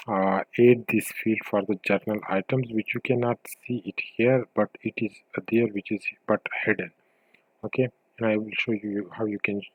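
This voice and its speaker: Guy with a lowish voice doing a screen share walkthrough, kinda hesitant, dry close sound. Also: slightly rough, no echo, low-quality recording. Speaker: software tutorial presenter. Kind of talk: informal screen-share tutorial narration.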